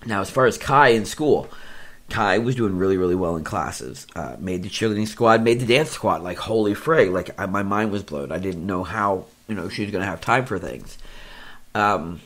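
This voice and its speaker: A man with a very low, scratchy voice talks through a casual personal update. He rambles, loops back on his own words and makes light of himself. He sounds middle-aged and speaks from an office. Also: expressive, normal speed, fairly bright tone. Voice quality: scratchy, deeper voice. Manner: rambling, repeats himself, self-deprecating. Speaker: podcast host. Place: indoors in an office.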